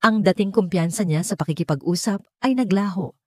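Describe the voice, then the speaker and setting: A woman's voice: medium pitch, fairly quick, dry recording, studio quality. A woman with a Filipino accent reading a scripted story as a dramatic narrator.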